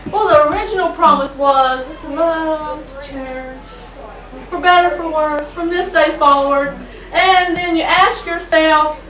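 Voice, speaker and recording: A woman's voice: high-pitched, a little slow, a bit bright. A woman playing a sarcastic, exasperated wife who gripes comically about her husband in a stage sketch. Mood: wry. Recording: echoey hall from far, audience.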